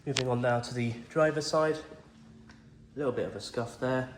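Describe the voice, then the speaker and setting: A man's voice: medium pitch, moderate pace. A man who has a cold, walking round a pick-up and describing its condition in a plain, methodical, reassuring way. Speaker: car salesman. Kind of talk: walk-around vehicle video. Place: outdoors around a vehicle. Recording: echoey, with faint noise.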